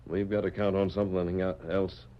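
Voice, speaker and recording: A man's voice: sits low, level tone, fairly quick, close to an everyday voice. A man playing a resolute, commanding cowboy hero in a radio western, with earnest, formal diction. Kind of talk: 1940s radio western acting. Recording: muffled vintage radio recording, faint noise.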